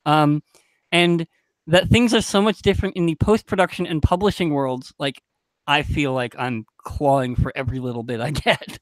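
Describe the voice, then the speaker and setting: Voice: medium pitch, fairly even intonation, moderate pace, no room sound. A young adult podcast panelist speaking casually, frankly and emphatically in a group discussion.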